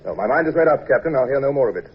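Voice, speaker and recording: An actor playing a stern, principled, quick-tempered British naval commodore with a brisk, authoritative delivery in a radio drama. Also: male voice, medium pitch, animated, husky. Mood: firm. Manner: authoritative, brisk, clipped questioning. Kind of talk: old-time radio drama acting. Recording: dull lo-fi radio recording with hiss.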